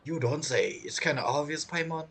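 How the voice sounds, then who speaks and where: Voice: medium pitch, moderate pace, noisy background. A streamer giving casual, joking commentary over a game playthrough, full of teasing asides. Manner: teasing, joking asides, non-native phrasing. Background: video game music.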